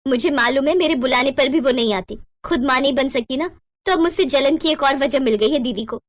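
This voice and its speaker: Woman acting a high, sharp-tongued young sister-in-law. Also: brisk, close to an everyday voice. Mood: bitter. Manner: indignant, accusing, argumentative.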